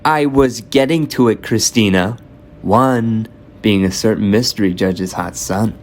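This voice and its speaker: A man's voice: unhurried, close to an everyday voice. A man playing a sassy, gossipy, catty teenage debater in an amateur radio drama, chatty in his delivery. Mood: gossipy, pleased. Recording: clear background noise with low rumble.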